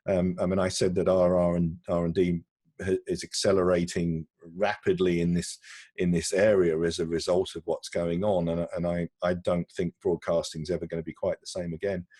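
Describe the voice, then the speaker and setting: A man answering questions in a conversational video-call interview, halting and often repeating words as he explains. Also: sits low, flat delivery, mellow, slightly rough, no room sound. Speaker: interviewee, broadcast technology executive.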